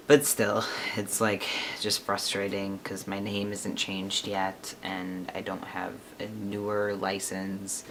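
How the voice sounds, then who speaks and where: A man's voice: fairly low pitch, a bit bright, slight background noise. Chatty young man rambling to camera. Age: 20s. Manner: chatty, rambling, laughs often. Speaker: vlogger. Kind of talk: casual chat to camera.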